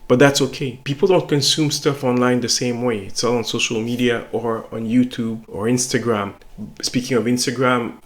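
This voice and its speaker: A middle-aged French Canadian man, a native French speaker, talking casually and reflectively to his viewers about his review channel, with a self-conscious, rambling manner. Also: medium pitch. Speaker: toy reviewer and site owner. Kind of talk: casual talk to camera.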